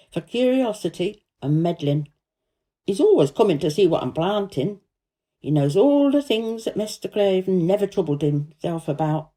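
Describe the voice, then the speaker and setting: Woman reading as a rough, crusty old Yorkshire gardener. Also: mid-range voice, dark tone. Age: older. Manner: crusty, rustic dialect speech. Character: gruff old Yorkshire gardener, surly but fond. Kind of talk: storybook character reading.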